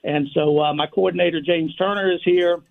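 A man calling in as a radio guest, speaking haltingly with many fillers. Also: fairly even intonation, vocal fry. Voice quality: deep voice. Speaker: firefighters' union president, radio guest. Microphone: phone line. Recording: tinny phone line.

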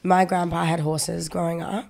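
A woman with a slightly low voice, nasal and stuffy from a cold. She chats casually on a podcast, telling stories in a loose, sweary way. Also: little pitch movement, moderate pace, gravelly, darkish tone. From Australia. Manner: chatty, sweary, storytelling. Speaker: podcast co-host. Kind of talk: casual chat.

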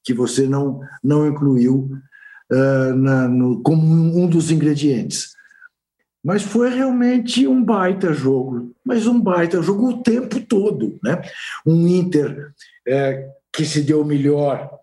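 Mellow older Brazilian pundit. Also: male voice, on the high side. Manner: unhurried, reminiscing storyteller. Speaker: sports journalist pundit. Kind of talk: casual panel chat.

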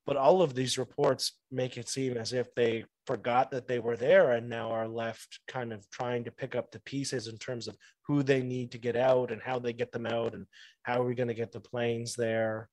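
A man interviewing a guest, asking measured, articulate questions. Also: medium pitch, little pitch movement, a little mellow, no room sound.

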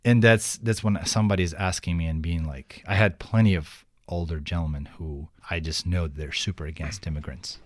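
Deep-voiced Ukrainian-accented man. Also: no room sound, clean studio sound. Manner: halting, restarts often, animated when telling stories. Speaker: guest. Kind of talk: casual interview.

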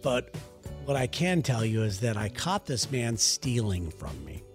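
A man's voice: big pitch swings, a little slow, over music. A man co-hosting a comedy podcast, reading a listener letter aloud and joking around in a teasing way. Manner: teasing, jokey, breaks into falsetto song.

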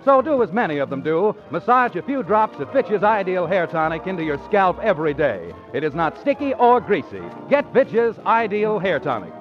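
A man's voice: sits high, very animated, normal speed, hardly any pauses. A man with an American accent announcing credits and adverts in 1940s radio style. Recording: muffled 1940s radio recording with music.

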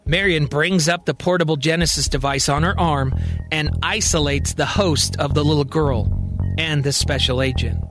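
A man's voice: on the high side, steady tone, moderate pace. A man narrating a scripted science-fiction story in a dramatic, present-tense storytelling style. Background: music.

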